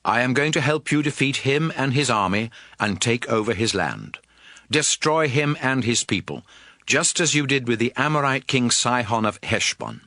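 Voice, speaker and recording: A man narrating scripture as a dramatized audio Bible, with a measured, expressive storytelling delivery. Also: medium pitch, no room sound.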